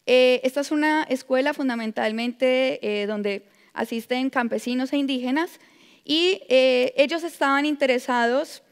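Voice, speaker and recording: A young adult woman from Colombia with a Colombian Spanish accent, giving a measured conference talk as a predoctoral researcher, with frequent filler sounds. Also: high voice, smooth. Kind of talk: conference presentation. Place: large lecture hall. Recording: long hall reverb.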